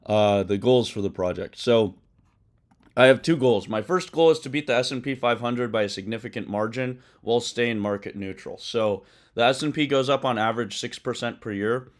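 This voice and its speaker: A man hosting a live coding stream, talking loosely with many ums and uhs and correcting himself as he goes, his voice a bit hoarse. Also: mid-range voice, moderate pace. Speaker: livestream host coding a trading tool. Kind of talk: casual live-stream explanation.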